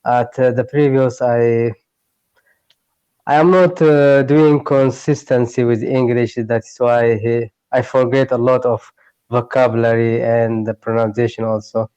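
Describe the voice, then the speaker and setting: A man from Eritrea, a Tigray speaker learning English, speaks in halting phrases, often searching for words. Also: medium pitch, steady tone, warm tone, clean tone, no room sound, muffled recording. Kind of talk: online tutoring conversation. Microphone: video call.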